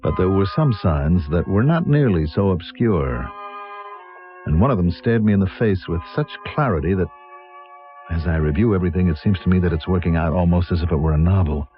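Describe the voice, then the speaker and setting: Deep-voiced, haughty, bitter novelist. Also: male voice, lively intonation, moderate pace, faint music behind. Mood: wry, bitter. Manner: haughty, scornful, literary diction. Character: bitter, proud, aging novelist narrating his own downfall. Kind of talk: old-time radio mystery acting. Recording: dull lo-fi old radio broadcast.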